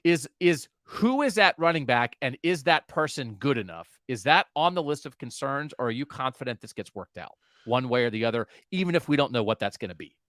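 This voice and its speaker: Animated male sports podcast host. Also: mid-range voice, brisk, few pauses, no echo, studio quality. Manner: animated, asks questions. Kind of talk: casual sports talk.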